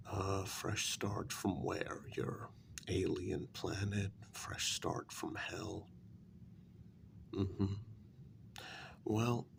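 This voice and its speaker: A man playing a menacing, sardonic kidnapper in a soft-spoken ASMR role-play, gravelly and often slipping into whispers, speaking in a halting, deliberate way. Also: on the low side, exaggerated voice. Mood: sardonic, probing, then musing, sardonic. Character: menacing, sardonic male kidnapper interrogating his captive.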